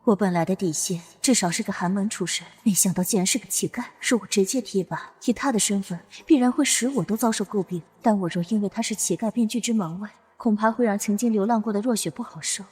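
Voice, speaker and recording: Casting: woman as imperious middle-aged empress, measured and stately, mid pitch, close dry sound over faint music. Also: darkish tone, slightly rough, dry recording, natural voice. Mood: pensive. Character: shrewd, authoritative empress and protective mother. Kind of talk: Chinese period comic-drama dubbing.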